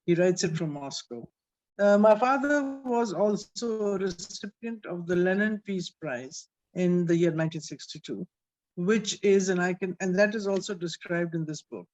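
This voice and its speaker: A woman's voice: on the low side, darkish tone, muffled recording. Older woman telling her story. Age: 70s. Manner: reflective storytelling, many pauses and restarts. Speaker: author. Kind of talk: interview talk about her book.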